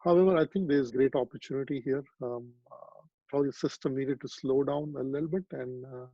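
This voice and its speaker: Gravelly man, mellow and reflective. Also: mid-range voice, a little fast, dry recording, dull audio. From Pakistan. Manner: reflective, many ums and restarts. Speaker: psychiatrist guest. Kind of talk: relaxed interview storytelling.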